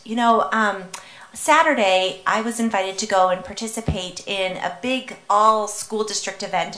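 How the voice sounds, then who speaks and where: A woman's voice: medium pitch, a bit bright. A woman councilmember who is also a parent, giving earnest, encouraging remarks at a council meeting. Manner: earnest, encouraging, speaks up with conviction. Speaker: city councilmember. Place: council chamber. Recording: roomy council chamber.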